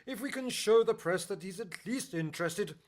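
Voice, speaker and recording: A woman's voice: medium pitch, expressive, moderate pace, a little mellow, natural voice. A woman voicing a grumpy, reluctant king in a radio play, curt and pompous. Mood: grudging. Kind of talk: stage musical radio play acting.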